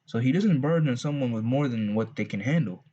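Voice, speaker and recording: A man's voice: medium pitch, lively intonation, a little fast, dark tone. A man with a heavy Texas accent giving loose, rambling religious advice to fathers, with many restarts and asides. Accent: Southern US, Texas. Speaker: religious channel host. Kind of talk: unscripted advice talk. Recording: dull lo-fi recording.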